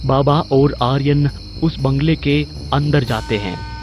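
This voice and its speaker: Male storyteller, scripted horror narration, slow and measured, music bed underneath. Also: mid-range voice.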